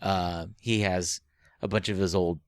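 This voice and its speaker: A man's voice: low voice, fairly even intonation, a little slow, no echo, studio quality. A man co-hosting a casual book club podcast, speaking informally with a joking, crude sense of humour. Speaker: podcast co-host.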